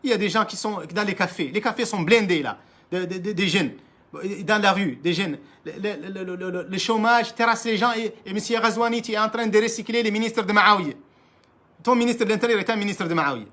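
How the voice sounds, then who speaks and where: A man with a fairly high voice speaks quickly and insistently. His words tumble out with frequent restarts and repeated phrases as he challenges leaders directly, in an impassioned political monologue. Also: bright, forceful tone. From Mauritania. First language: Arabic. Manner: emphatic, repetitive, restarts often, addresses leaders directly. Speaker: political commentator.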